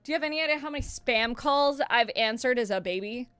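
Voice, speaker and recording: Young woman, voice-actor streamer, mid-low voice with a bright edge, dry close sound, animated casual chat. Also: quick pace, smooth, no room sound. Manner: animated and chatty, swears freely. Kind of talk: casual stream chat answering viewer questions.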